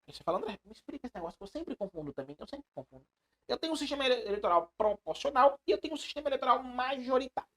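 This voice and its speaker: A male teacher with a high-pitched voice that swings widely up and down. He lectures live in an emphatic, animated way, repeating key phrases and checking in with students through rhetorical questions. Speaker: law exam prep teacher.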